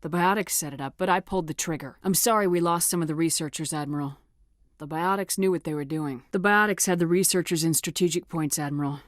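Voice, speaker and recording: Game lead: a woman playing a tough female commander, lower register, firm and no-nonsense, very dry studio sound. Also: fast talker, no room sound, natural voice. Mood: sober, dutiful. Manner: firm, clipped, authoritative. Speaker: video game voice actor. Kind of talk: video game dialogue acting.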